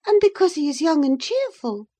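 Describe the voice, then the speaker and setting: A high, swooping young lady's voice acted by a woman narrator, headstrong and petulant. She is impulsive and insistent, full of exclamations, as in a dramatised audiobook of a classic novel. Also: sing-song, normal speed, dark tone. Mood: petulant, defiant.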